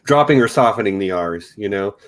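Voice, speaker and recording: A man's voice: lowish voice, lively intonation, fairly quick. Chatty Texan man hosting a podcast. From Texas. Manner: chatty, self-correcting. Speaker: podcast host. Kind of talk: casual discussion.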